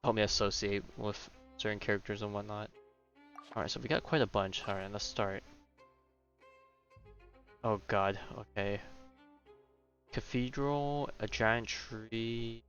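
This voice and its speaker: Low-voiced man streaming a game, rambling casually. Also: fairly even intonation, a little slow, long pauses, fairly bright tone, dull audio. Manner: hesitant, rambling, thinking aloud. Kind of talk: casual livestream gaming commentary. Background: game music.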